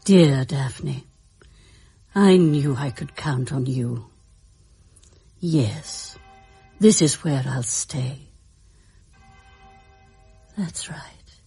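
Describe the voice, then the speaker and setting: An actress playing a tender, devoted dying woman, weak and in pain, breathy, in a radio drama. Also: very deep voice, extremely slow, long pauses, mellow, soft background music, full character voice.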